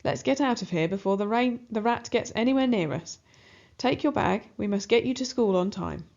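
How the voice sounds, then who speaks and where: A woman's voice: on the high side, moderate pace, creaky, darkish tone, dull audio. A woman voicing a strict, easily shocked mother in a children's storybook. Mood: severe.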